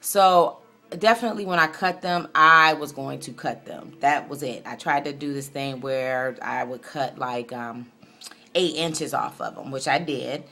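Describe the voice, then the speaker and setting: Woman, late 30s, low pitch, casual vlog chat, candid, rambling, self-reflective. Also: measured pace, pushed and bright. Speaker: video blogger.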